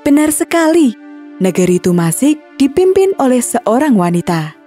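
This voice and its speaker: A woman's voice: high-pitched, big pitch swings, moderate pace, mellow, faint music behind. A woman narrating a scripted children's story.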